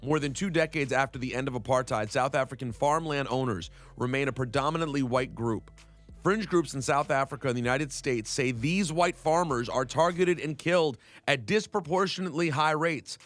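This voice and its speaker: A man's voice: medium pitch, a little fast, fairly bright tone, faint music behind. A man co-hosting a radio talk show, reading out news and giving his opinions in casual chat. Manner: opinionated, reads news aloud with commentary. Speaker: radio co-host.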